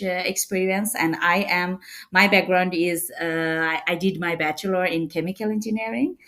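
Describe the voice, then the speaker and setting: Guest spot: young woman from Bangladesh, lower voice, halting, filler-heavy answers. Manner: hesitant, many fillers, non-native phrasing. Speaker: startup co-founder, guest. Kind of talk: casual interview answers.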